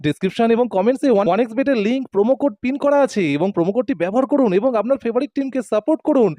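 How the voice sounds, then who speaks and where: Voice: medium pitch, racing, mellow, no room sound. A presenter reading a scripted advertisement. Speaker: promo presenter.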